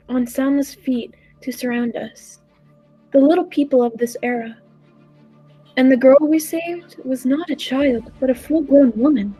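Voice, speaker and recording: A woman playing a gentle, contented time traveler who tells the tale in a measured, wistful way, in 1940s radio play style. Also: sits high. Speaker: amateur drama club actor. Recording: roomy echo with faint music.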